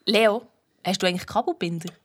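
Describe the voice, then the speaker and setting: A woman's voice: mid-range voice, a little fast, no room sound, close to an everyday voice. A woman playing a cheeky, stubborn schoolgirl detective in a German audio drama, with a Swiss accent and colloquial storytelling. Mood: insistent.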